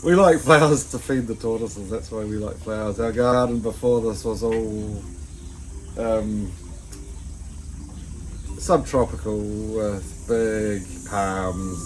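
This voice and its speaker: A man with a New Zealand accent, casually chatting about his tortoises in his garden, in a self-described monotone that drifts off topic. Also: lowish voice, slow, slight background noise, low-quality recording. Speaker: tortoise keeper and channel host. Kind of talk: casual rambling vlog. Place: outdoor garden with tortoise enclosure.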